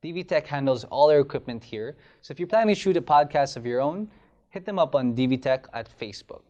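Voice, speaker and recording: A man's voice: fairly high pitch, lively intonation, a little fast, warm tone, highs cut off. A man hosting a podcast, reading the intro and sponsor spots and then asking a guest questions in a friendly, upbeat way. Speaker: podcast host.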